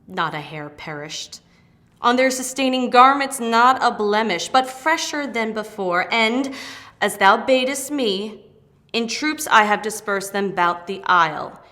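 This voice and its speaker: A woman's voice: on the high side, lively intonation, measured pace, pushed and bright. A woman playing an eager, proud airy spirit servant in a declamatory, emphatic Shakespearean stage reading. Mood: dutiful, reporting. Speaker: actor in dramatic reading.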